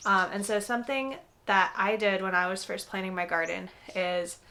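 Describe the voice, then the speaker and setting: A young woman talks casually to her viewers, sounding a little stuffed up from a cold. She explains things in an easygoing, chatty way, dotted with "um" and "like", and her pitch sits right in the middle for a woman. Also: steady tone, moderate pace, sharp tone. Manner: chatty, frequent "um" and "like", conversational. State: congested from a cold. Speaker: gardening vlogger. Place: indoors, with some segments recorded outdoors in a garden.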